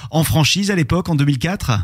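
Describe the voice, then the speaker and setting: A man hosting a podcast, asking formal, measured interview questions with brief hesitations. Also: a little high, dry recording, studio quality.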